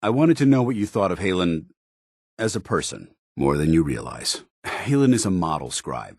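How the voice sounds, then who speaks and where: An actor playing a stern, earnest, dutiful male soldier in a video game, speaking with formal, measured military diction. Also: low-pitched, big pitch swings, darkish tone, no echo, clean studio sound, exaggerated voice. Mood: earnest, guarded. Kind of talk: video game voice acting, companion dialogue.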